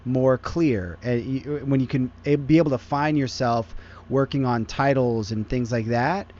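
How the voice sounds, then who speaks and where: A man's voice: mid-range voice, measured pace, a little mellow, muffled recording. A male podcast host in a loose, joking chat, with lots of "like" in his speech.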